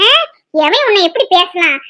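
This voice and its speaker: A woman's voice: very high-pitched, animated, normal speed, bright, forceful tone, dry recording, studio quality, exaggerated voice. A woman acting a feisty, protective village housewife in a drama, blunt and chatty. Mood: indignant.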